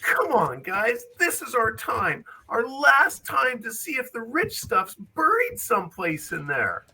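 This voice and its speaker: A performer voicing an excitable, scared young boy adventurer with halting, dramatic delivery in an amateur script read. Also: mid-range voice, creaky, husky, exaggerated voice. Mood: earnest, urgent. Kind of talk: amateur livestream table read of a film script. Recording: distant from the mic.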